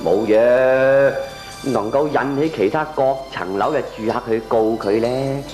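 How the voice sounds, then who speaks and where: A man's voice: medium pitch, measured pace, darkish tone, natural voice. An actor playing a scheming, gleeful male neighbour in a comic radio drama, with a Hong Kong Cantonese accent. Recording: echoey radio play with music behind.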